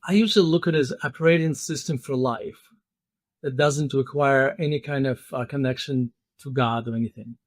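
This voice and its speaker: A man's voice: medium pitch, normal speed, no room sound, studio quality. Thoughtful hesitant man in his fifties. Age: 50s. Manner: thoughtful, hesitant, many fillers and restarts. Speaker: podcast guest. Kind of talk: interview conversation.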